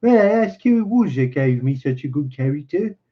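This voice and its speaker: A man's voice: fairly high pitch, very animated, fairly quick. A man voicing a polite, earnest young male engineer from a storybook, speaking formally. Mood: polite, questioning. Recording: dull, muffled lo-fi recording.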